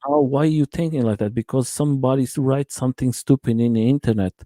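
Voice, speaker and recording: A man's voice: medium pitch, fairly even intonation, warm tone, no room sound. Man hosting a live chat. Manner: halting non-native English, many uh fillers. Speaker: channel host interviewer. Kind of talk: live-stream interview chat.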